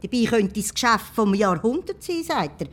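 An actress in a radio play playing a disapproving, matter-of-fact village official. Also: female voice, a little high, very animated, moderate pace. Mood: dry, mocking. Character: dry, disapproving female village council official.

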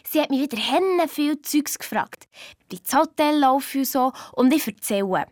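Sensible, reproving girl sleuth, slightly high pitch, Swiss German accent, children's audio drama. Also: animated, normal speed, darkish tone, no echo, clean studio sound. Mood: matter-of-fact. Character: sensible teasing girl detective recounting her adventures. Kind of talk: children's detective radio play, dialect narration.

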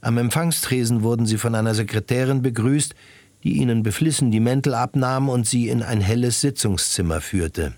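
A man's voice: mid-range voice, normal speed, a little mellow, dry recording. A man narrating a scripted story in literary prose for a radio drama.

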